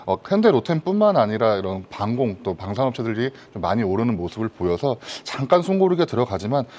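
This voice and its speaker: A man's voice: medium pitch, sing-song, fast, darkish tone. A man giving stock commentary as a guest analyst on a broadcast, speaking in a formal, polite, hedging manner.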